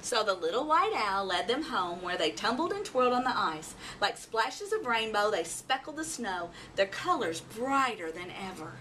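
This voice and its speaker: A woman narrating a children's storybook in an expressive, dramatic way. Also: mid-range voice, normal speed, few pauses. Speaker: storybook reader. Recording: distant mic, faint background noise.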